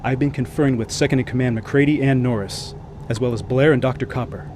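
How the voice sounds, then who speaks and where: A man's voice: mid-range voice, steady tone, a little fast, noisy background, natural voice. An actor playing a sober male expedition commander, speaking formally and with authority in a radio drama.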